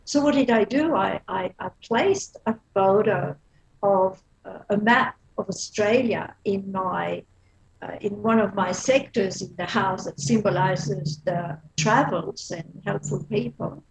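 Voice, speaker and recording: Woman, 50s, Belgian accent, interview guest, lively, laughs often, restarts. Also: medium pitch, measured pace, creaky, rough recording. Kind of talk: casual interview chat.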